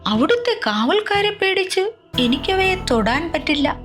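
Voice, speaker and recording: A woman's voice: very high-pitched, big pitch swings, a little slow, a bit bright, soft background music, voice pushed up, full character voice. A woman voicing a sly, greedy male fox out for revenge in a children's storybook tale. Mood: fawning, scheming.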